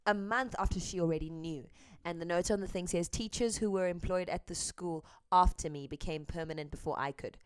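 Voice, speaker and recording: A woman's voice: on the low side, brisk, smooth, dry recording. A woman host speaking earnestly and candidly, translating a clip with frequent hesitant ums. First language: English. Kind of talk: earnest commentary and live translation.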